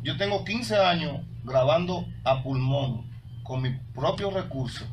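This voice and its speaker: A man's voice: on the high side, lively intonation, measured pace, faint music behind, rough recording. A Dominican man arguing insistently as a musician guest in a casual radio debate, repeating himself for emphasis. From Dominican Republic. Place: small radio studio.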